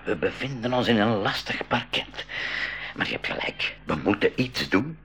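Cautious professor, widely swinging pitch. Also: male voice, lively intonation, moderate pace, fairly bright tone, slightly rough, exaggerated voice. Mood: doubtful, hesitant. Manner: hesitant, doubting, repeats words. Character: Uncle January, cautious worried professor and expedition leader. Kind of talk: 1980s school radio drama acting.

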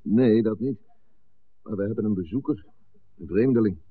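A man's voice: fairly low pitch, normal speed, slight background noise, close to an everyday voice. A man acting a blunt, confident village conspirator, impatient and persuasive, in a 1960s radio play. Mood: insistent. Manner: blunt, insistent. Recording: muffled, narrow-band old radio recording.

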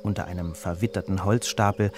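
A man reading a children's story as its narrator, with an expressive storytelling delivery. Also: low voice, brisk, a little hiss or hum.

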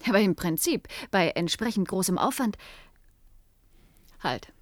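Actress doing a brainy woman scientist in a sci-fi radio play, thinking out loud, bit hesitant, dry studio sound. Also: medium pitch, lively intonation, measured pace, no echo, close to an everyday voice. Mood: curious, working it out. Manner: hesitant, thinking aloud, explanatory. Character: Natascha, thoughtful female cybernetics scientist reasoning things out. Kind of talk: German science fiction radio play acting.

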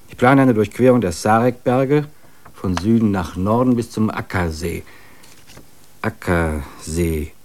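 A male actor as an earnest man around forty in a literary radio play. His voice sits low, and he reads his letters in a measured, serious way, longing for wild places. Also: darkish tone, lightly acted. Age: 40s.

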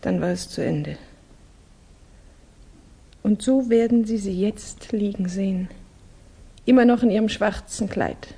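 An actress playing a refined, earnest young Russian woman in grief, speaking haltingly in a 1960s German radio drama. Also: medium pitch, gravelly, warm tone, slightly rough. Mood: bitter, stricken. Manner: halting, formal, intense.

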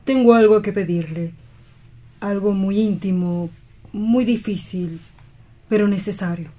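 Woman acting as a broken grieving doctor in a radio play, slow and mellow, halting, pleading. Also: mid-range voice, lightly acted. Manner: solemn, halting, imploring. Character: grief-stricken, guilt-ridden doctor and parent, pleading to die.

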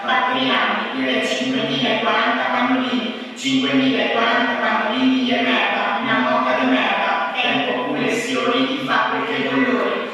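High, ringing Veneto-accented man chanting, echoey hall. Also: big pitch swings, moderate pace, hardly any pauses, sharp tone, highs cut off, soft background music. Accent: northeastern Italian, Veneto. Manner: declamatory, staccato, list-like delivery. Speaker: stage performer. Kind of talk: rhythmic chanted recitation of satirical theatre monologue. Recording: echoey hall, distant microphone.

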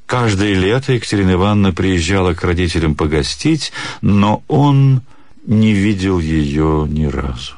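A man reads a literary story as a radio narrator, in a measured, dramatic way with deliberate pauses. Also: sits low, expressive, darkish tone, no room sound. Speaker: actor reading a short story.

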